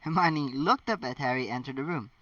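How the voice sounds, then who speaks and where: A man reading fan fiction as an amateur channel host, with animated, halting delivery and casual asides. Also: mid-range voice, no echo. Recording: dull lo-fi, distant mic.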